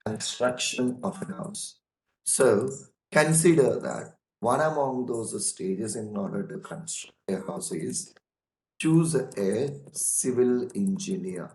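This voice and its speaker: Hoarse man teaching slowly. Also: mid-range voice, expressive, darkish tone, reverberant, rough recording. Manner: slow and repetitive, addresses listeners as "guys". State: hoarse from a cold. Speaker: software testing instructor. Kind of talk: casual online lecture.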